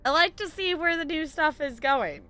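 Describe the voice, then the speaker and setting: A woman chats playfully as she streams a game. Her voice is bright and very lively in pitch, and her style is casual. Faint music plays underneath. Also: moderate pace. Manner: playful, chatty, giggly. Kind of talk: casual live gameplay commentary.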